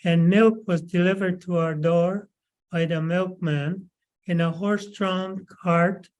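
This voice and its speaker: A man in his nineties from Canada tells his memories at a slow pace. His voice sits a little high and stays very flat in pitch. He pauses often and fills the gaps with small sounds. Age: 90s. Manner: slow, halting, many pauses and fillers. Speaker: webinar speaker. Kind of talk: recalled life story.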